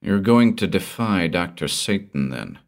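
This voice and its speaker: A man doing a calm steely detective. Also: vocal fry, exaggerated voice. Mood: cold. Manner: quiet, measured, low murmur. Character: calm, steely male detective, cool and relentless.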